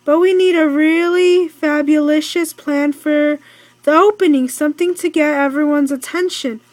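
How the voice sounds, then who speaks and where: Woman reading as an eager high-voiced girl. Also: fairly even intonation, normal speed, a little mellow, natural voice. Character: upbeat, eager girl planning a pet show. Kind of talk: children's storybook read-aloud.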